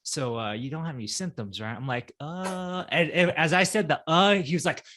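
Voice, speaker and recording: A man hosting a podcast interview, talking casually with many pauses and fillers. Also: fairly high pitch, sharp tone. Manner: hesitant, many fillers, friendly. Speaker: podcast host.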